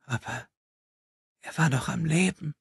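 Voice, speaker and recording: A soft-hearted young woman in an audiobook, played by a man, lifted above his natural register into a breathy hush. The delivery is hesitant and compassionate, with a husky grain and a close, dry sound. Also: fairly high pitch, measured pace, dry recording, pitched above the performer's own voice, exaggerated voice. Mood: horrified, hushed.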